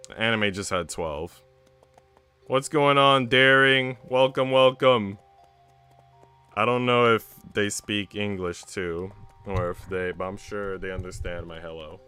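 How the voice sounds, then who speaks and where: A man's voice: lowish voice, a little slow, sharp tone, clear, resonant tone, soft background music. A man livestreaming in casual, conversational chat with his viewers. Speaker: livestreamer reading visual novels.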